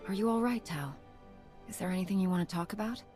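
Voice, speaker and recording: A woman's voice: mid-range voice, fast talker, darkish tone, natural voice. Woman as a composed heroic knight, music behind. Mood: reassuring. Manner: composed, earnest, firm. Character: steadfast, caring female Jedi knight commander, heroine. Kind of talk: video game dialogue acting.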